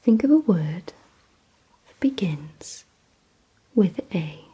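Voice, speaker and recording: A woman speaks in a very breathy whisper, mostly without voice. Her delivery is slow and deliberate, with a soft, mellow tone. She gives hushed instructions straight to the listener as an ASMR roleplay. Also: lowish voice, animated. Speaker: ASMR performer.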